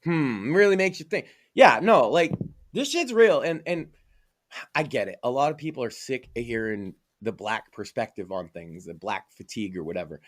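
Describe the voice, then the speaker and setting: A man's voice: mid-range voice, lively intonation, normal speed. A man from Massachusetts co-hosting a podcast, talking casually, with a profane, emphatic and opinionated manner. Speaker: podcast co-host.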